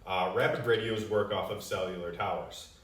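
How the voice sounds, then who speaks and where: A man's voice: low-pitched, steady tone, normal speed. An American man who represents a company, explaining answers to customer questions in a plain, conversational way. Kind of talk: casual explanatory Q&A. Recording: roomy echo, distant microphone.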